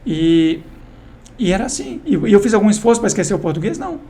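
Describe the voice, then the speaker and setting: Fast-talking man giving emphatic advice. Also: sits high, very animated, warm tone. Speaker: podcast guest. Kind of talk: casual interview chat.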